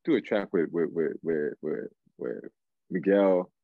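A young man from Toronto, Canada, chatting casually as an interview guest, halting and repeating words as he thinks, over a video call. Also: extremely low pitch, animated, creaky, no room sound. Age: young adult. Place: indoor room at home, video call. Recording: narrow, phone-like video call audio.

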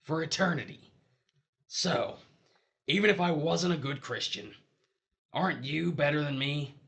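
A man playing a dead, abusive hustler of a husband in an audio drama, pleading and justifying himself in a gravelly voice. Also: on the high side, a little slow, fairly bright tone. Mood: desperate guilt-tripping.

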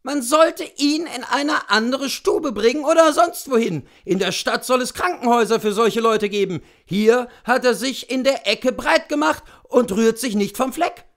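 Man voicing a shrill, scolding peasant cook woman. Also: normal speed, hardly any pauses. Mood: scolding, indignant. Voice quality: shrill, nagging. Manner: chattering, complaining.

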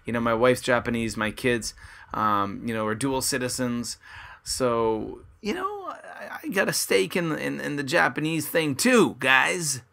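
A man's voice: mid-range voice, animated, unhurried, creaky, bright, forceful tone. A man from Canada hosting a podcast, chatting casually and upbeat with his listeners and his guest. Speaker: podcast host. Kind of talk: casual podcast intro and interview. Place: his home in Kobe, Japan.

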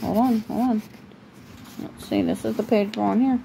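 A woman reading a picture book aloud to a child at home, breaking off for informal, gently correcting asides. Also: medium pitch, moderate pace, gravelly, a little hiss or hum, rough recording. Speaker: parent reading a bedtime story to a child.

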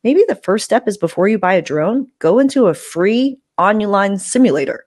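A woman with a mid-pitched voice hosts a podcast in a casual, informal way. She slips into quoted asides as she explains things, speaking into a close desktop microphone. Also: animated, moderate pace, a little mellow. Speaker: podcast host interviewer. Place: indoor room on a video call. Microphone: close desktop mic with furry windscreen.

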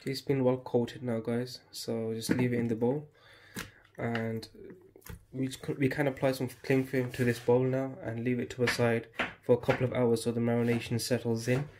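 A man hosting a home cooking channel, talking viewers through a recipe step by step in a steady, instructive way from his kitchen. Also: mid-range voice, moderate pace, background noise. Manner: steady, instructive, often addresses viewers as guys.

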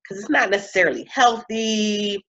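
A woman in her thirties talks on a video call in a small room at home, lively and quick to laugh. Her voice sits in a middle register. The webcam audio is slightly dull and muffled. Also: mid-range voice. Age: 30s. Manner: animated, laughs often, drawn-out emphasis. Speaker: coach and speaker. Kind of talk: casual interview chat.

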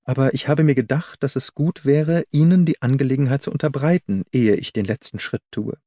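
Mellow male audiobook narrator. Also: medium pitch, brisk, dry recording, studio quality. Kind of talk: scripted audiobook narration of a classic detective story.

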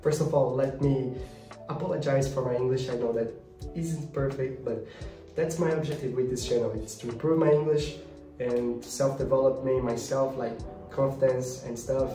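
A man's voice: medium pitch, steady tone, mellow. Young Portuguese-accented man vlogging. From Brazil. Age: 20s. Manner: earnest, halting, searching for words. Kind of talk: casual vlog to camera. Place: small home office. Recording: roomy, a bit distant, music behind.

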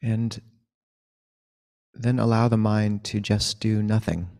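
A man leading a guided meditation as a teacher, giving gentle, unhurried instructions with long pauses. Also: fairly low pitch, little pitch movement.

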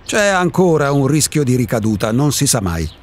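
A man's voice: mid-range voice, big pitch swings, normal speed. An actor playing an elderly reclusive male ghost in a radio drama, a wry and contrarian philosopher with a theatrical, emphatic delivery. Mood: stubborn.

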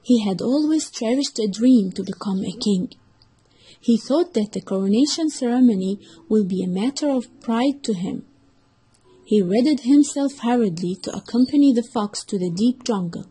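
High-pitched husky woman storyteller. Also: expressive, normal speed, mellow, low-quality recording. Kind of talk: scripted children's story narration.

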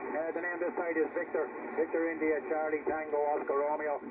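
A man's voice: on the high side, little pitch movement, a little fast. A man with an Irish accent chatting casually as an amateur operator, heard through a single-sideband radio transmission. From Ireland. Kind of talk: casual two-way radio chat. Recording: muffled, very noisy shortwave radio reception. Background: loud static and interference.

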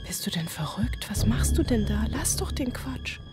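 A woman's voice: on the high side, big pitch swings, moderate pace, whispered. An actress playing a weary, brooding single mother in a radio drama monologue, loving yet overwhelmed. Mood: whispered alarm. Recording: music behind the voice.

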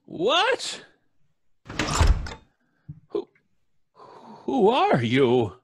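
An actor playing a polite old judge in an old-time radio horror play. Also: male voice, very high voice, wildly swooping pitch, extremely slow, creaky, background noise, full character voice. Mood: alarmed. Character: old judge, polite, unafraid, then rattled by a ghost.

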